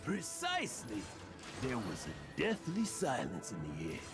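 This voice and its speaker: A man's voice: mid-range voice, huge pitch swings, measured pace. A man voicing a boastful adult adventurer in a video game, grandiose and theatrical in his storytelling. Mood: dramatic storytelling. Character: boastful adult male adventurer, self-styled legend. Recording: studio game dub with clear music behind.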